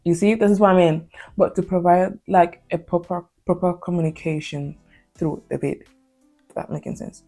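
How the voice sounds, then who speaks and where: Young woman, Spanish native, Spanish accent; mellow, creaky low voice; rambling confessional vlogger with faint music underneath. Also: fairly even intonation, moderate pace. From Spain. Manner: hesitant, heavy on fillers and restarts. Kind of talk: confessional casual vlog talk.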